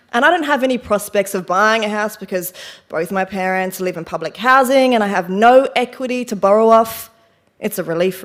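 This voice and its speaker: An actress playing a rattled, self-deprecating woman in a confessional stage monologue, speaking in a wry, halting, defensive way. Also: medium pitch, close to an everyday voice. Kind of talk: theatrical monologue, conversational and confessional.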